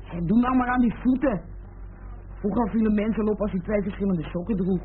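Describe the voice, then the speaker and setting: A woman's voice: medium pitch, brisk, natural voice. An actress playing a fed-up, unhappy young woman in a radio play, terse and blunt. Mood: curt, impatient. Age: young adult. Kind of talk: Dutch radio play acting. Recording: tinny, hissy old radio recording.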